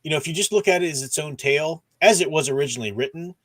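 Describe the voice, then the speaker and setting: A man's voice: fairly high pitch, lively intonation, racing, fairly bright tone, no room sound. A man hosting a casual discussion stream, rambling, restarting sentences and groping for names as he talks. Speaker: podcast host.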